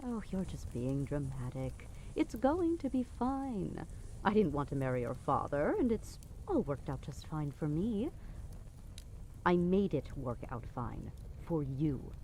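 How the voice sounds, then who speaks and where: A woman's voice: low-pitched, very animated, a little slow, warm tone, slight background noise, poor audio. A woman playing a haughty middle-aged queen and guilt-tripping mother in an audio drama, dismissive and cajoling by turns. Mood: exasperated, cajoling. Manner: dismissive, cajoling, imperious, with exasperated sighs. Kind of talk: audio drama podcast acting.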